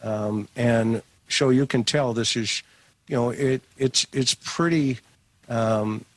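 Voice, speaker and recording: A man's voice: mid-range voice, slow, no echo. A man speaking hesitantly, with many fillers and restarts.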